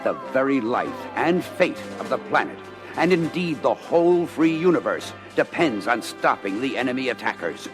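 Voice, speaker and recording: A man's voice: mid-range voice, expressive, moderate pace, over music, close to an everyday voice. An actor playing a stern military commander in an audio adventure, addressing his crew in a formal, urgent, commanding manner. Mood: grave, urgent. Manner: commanding, formal, emphatic briefing. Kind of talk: 1980s children's audio adventure record acting.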